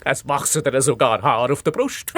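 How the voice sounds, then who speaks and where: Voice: medium pitch, big pitch swings, moderate pace, a bit bright, no echo. Bossy, swooping fox detective. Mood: placating, blustering. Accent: Swiss German. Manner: pompous, dismissive, blustering. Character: bossy, cocksure fox detective inspector, self-important and blustering. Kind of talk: children's fairy-tale radio play, dialect-flavoured acting.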